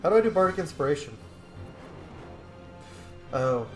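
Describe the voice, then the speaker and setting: A man's voice: fairly high pitch, sing-song, moderate pace. A man giving casual let's play commentary, thinking aloud about tactics with bursts of exclamation. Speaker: video game streamer. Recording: roomy sound over clear game music.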